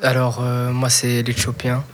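Teenage male, young rapper, from Nantes, casual chat, flat intonation, medium pitch. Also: a little slow. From Nantes, France. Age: teens, seventeen.

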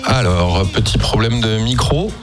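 A man hosting a casual radio interview, relaxed and halting, with frequent fillers. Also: fairly low pitch, music in the background. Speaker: radio host.

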